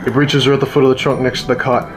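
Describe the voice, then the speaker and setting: A man playing a stocky, gruff but kindly hermit in a fantasy radio drama, teasing and rambling as he talks. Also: mid-range voice, flat delivery, rapid-fire, lightly acted. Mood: gruff, dismissive. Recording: loud music behind the voice.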